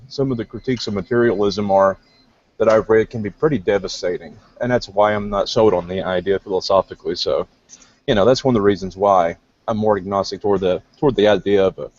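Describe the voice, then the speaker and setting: A man with a deep voice, talking as a guest in a casual online group discussion from a gym, thoughtful and hesitant with many fillers. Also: a little mellow. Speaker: guest newcomer. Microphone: video call. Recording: dull lo-fi online call audio.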